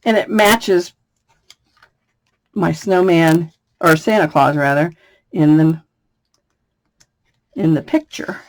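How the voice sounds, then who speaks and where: A woman from the United States with a slightly raspy voice, chatting through a card-making tutorial and laughing between steps. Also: low-pitched, slow, pauses often, darkish tone. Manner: chatty, laughs often, thinks aloud. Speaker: craft demonstrator. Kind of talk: casual craft tutorial.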